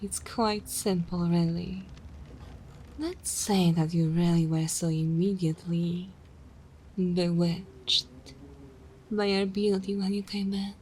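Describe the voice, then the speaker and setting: Woman playing a slow, teasing vampire mistress. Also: on the low side, steady tone, a little mellow, slight background noise, rough recording. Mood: condescending, probing. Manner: slow, formal, condescending drawl. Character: composed, teasing, menacing female vampire mistress. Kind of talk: ASMR roleplay acting.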